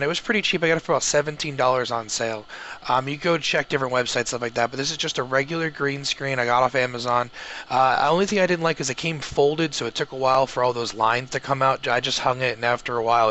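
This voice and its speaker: A man's voice: medium pitch, sharp tone, slight background noise. A man gives an informal how-to tutorial, explaining things step by step in a casual way. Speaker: tutorial host, gamer and streamer.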